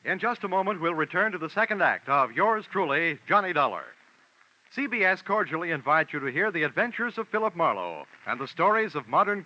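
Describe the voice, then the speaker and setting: A man's voice: on the high side, sharp tone. A man making formal, polished network announcements on 1950s radio. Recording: dull old radio recording.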